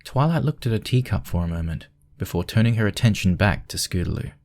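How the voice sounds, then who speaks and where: A man's voice: low-pitched, expressive, quick pace, a little mellow. A man reading scripted story narration as the narrator.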